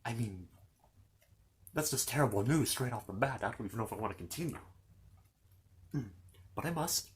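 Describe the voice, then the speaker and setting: A man's voice: medium pitch, lively intonation, moderate pace, long pauses. Rambling male ASMR storyteller. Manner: rambling, improvised, with asides and repeated phrases. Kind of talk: ASMR retelling of a children's fantasy story.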